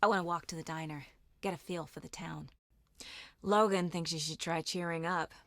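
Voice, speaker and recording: A woman's voice: lowish voice, steady tone, moderate pace, a bit bright, dry recording, studio quality. An actress voicing a determined, intense woman FBI agent in dramatic video game dialogue. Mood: calm, businesslike.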